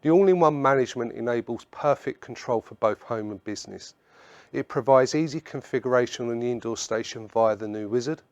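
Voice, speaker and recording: A man presenting a product webinar in a measured, instructional way, explaining slides point by point. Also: medium pitch, no room sound, highs cut off.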